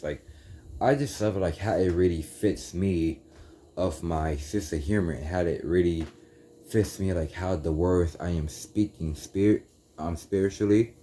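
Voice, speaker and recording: A young man with a deep voice, talking in a meandering, casual way and correcting himself as he goes through a spiritual monologue for his channel. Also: mellow, a little hiss or hum. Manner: meandering, self-correcting, casual slang like "dude". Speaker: YouTuber.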